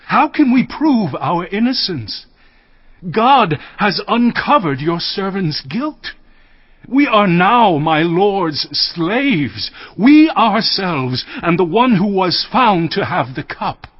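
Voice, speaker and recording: A man's voice: high-pitched, sing-song, takes it slowly, no room sound, highs cut off, heavily acted. A man playing a humble, anguished brother pleading for mercy, earnest and deferential, in a dramatized audio Bible.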